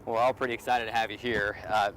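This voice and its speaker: A man's voice: fairly low pitch, little pitch movement, fast talker, fairly bright tone, slight background noise, poor audio. An American man hosting a sports show and interviewing a guest, speaking outdoors beside a football field.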